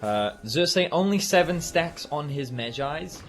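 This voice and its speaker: A man giving relaxed, analytical live esports commentary with easy banter. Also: on the high side, a little slow. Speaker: esports color caster. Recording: commentary over loud game music.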